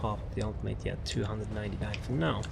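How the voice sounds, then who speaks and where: A man's voice: on the low side, fairly even intonation, quick pace, gravelly, heavy background noise. A man giving casual, off-the-cuff video game commentary as he presents a build guide, rambling and repeating his phrases. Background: music.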